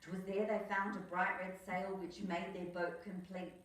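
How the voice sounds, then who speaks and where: A woman's voice: on the low side, flat delivery, unhurried, recorded from a distance. An Australian woman reading a children's picture book aloud as a storyteller, with theatrical emphasis on the rhymes. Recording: echoey room, away from mic.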